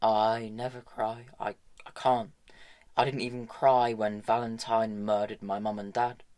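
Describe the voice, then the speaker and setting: A man's voice: lowish voice, flat delivery, a little slow, darkish tone, dry recording, clean studio sound, lightly acted. A man reading an audiobook, voicing a naive young man who stammers and pleads in distress. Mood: tearful, protesting. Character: shaken, earnest young man, naive and loyal.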